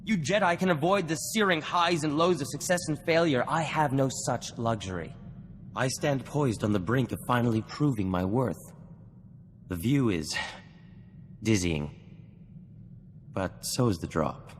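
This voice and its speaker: Actor as reverberant, intense ambitious scientist. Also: male voice, medium pitch, measured pace, long pauses, a little hiss or hum, natural voice. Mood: anxious, yearning. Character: earnest, ambitious male scientist craving recognition, intense and dramatic. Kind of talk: video game cutscene dialogue.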